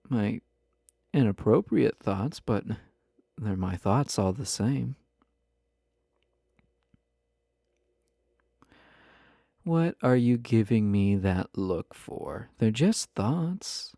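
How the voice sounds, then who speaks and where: An actor voicing a flirty, possessive husband in an intimate roleplay audio, teasing the listener with coy, mock-innocent pick-up talk. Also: male voice, lowish voice, very animated, slow pace, creaky, mellow, dry recording, clean studio sound, heavily acted.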